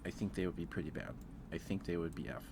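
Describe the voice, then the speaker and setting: A man's voice: low voice, steady tone, quick pace, background noise. A man hosting a casual ASMR livestream, talking in a rambling, hesitant way and often repeating his phrases. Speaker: livestream host.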